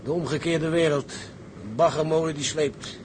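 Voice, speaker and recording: An actor playing a blunt, sceptical, grumbling shipmate in a Dutch sea drama. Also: male voice, a little high, steady tone, normal speed, darkish tone, a little hiss or hum, natural voice.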